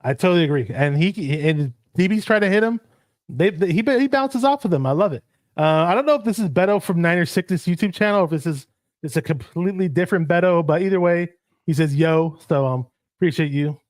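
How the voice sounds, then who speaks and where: Higher-voiced man chatting about football. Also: fairly quick, no room sound. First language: Arabic. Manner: rambling, shouts out chat viewers. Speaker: podcast co-host. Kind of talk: casual sports chat on a livestream.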